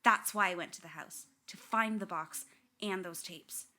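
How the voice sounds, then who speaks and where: A woman's voice: fairly low pitch, moderate pace, bright, forceful tone, a little hiss or hum. A young woman playing a candid, wry daughter haunted by family tragedy, narrating a radio drama. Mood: reflective, wry. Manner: reflective, wry. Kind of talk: first-person scripted narration.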